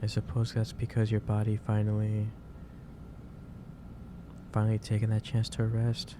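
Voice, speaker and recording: A man playing a gentle, caring office boss in an ASMR roleplay, speaking in a hesitant, reassuring way. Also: on the low side, completely flat delivery, moderate pace, lightly acted. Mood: fond, concerned. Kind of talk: ASMR roleplay, soft improvised monologue. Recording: clear background noise, ambience.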